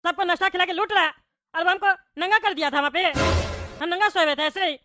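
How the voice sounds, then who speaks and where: A performer voicing a loud, angry bald male politician, an indignant, threatening buffoon in a Hindi comedy cartoon. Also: very high voice, fairly quick, dry recording, faint music behind. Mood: outraged. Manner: blustering, threatening, complaining.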